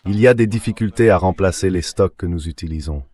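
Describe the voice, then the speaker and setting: A man's voice: sits low, fast talker, darkish tone. A man's synthetic AI dubbing voice giving analytical military commentary as an interview guest.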